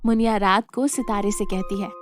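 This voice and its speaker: A woman's voice: mid-range voice, fairly even intonation, normal speed, faint music behind. A woman narrating a scripted children's moral story as the storyteller.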